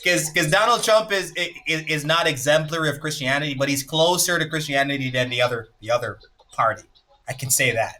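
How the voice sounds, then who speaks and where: An excitable man from Jamaica talks on a live stream, his voice bright and fairly high. He tends to repeat himself. Faint music plays underneath. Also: a little fast. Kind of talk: casual live-stream chat.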